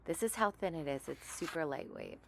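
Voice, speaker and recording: A woman vlogger with a raspy voice, chatting casually and thinking aloud while she demonstrates a gadget. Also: low-pitched, expressive, moderate pace, a little hiss or hum. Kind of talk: casual product demo.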